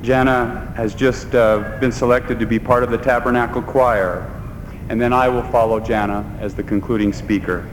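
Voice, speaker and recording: A man's voice: fairly even intonation, moderate pace. A man conducting a church meeting, announcing the speakers in a formal, plain way. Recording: echoey hall with faint rumble.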